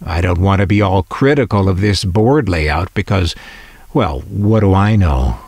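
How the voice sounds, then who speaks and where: An American man narrates in a documentary style with a fairly low voice. His pitch moves expressively while he keeps an unhurried, measured pace. He sounds wry and opinionated, like a host presenting a scripted piece. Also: lively intonation, a little mellow, slightly rough, dry recording. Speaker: host of a vintage radio collecting channel.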